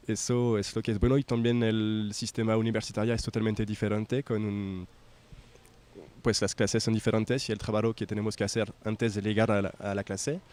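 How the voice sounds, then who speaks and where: A young man from France with a French accent, a native French speaker, giving an informal testimonial as a student and haltingly searching for words in a second language. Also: lowish voice, a little mellow. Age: young adult.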